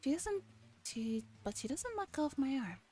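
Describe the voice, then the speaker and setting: Woman, slightly high mellow voice, soft ASMR game reading, drifts into whispers, music behind. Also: sing-song, moderate pace. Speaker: streamer reading a visual novel aloud. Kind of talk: soft ASMR visual novel reading, voicing dialogue.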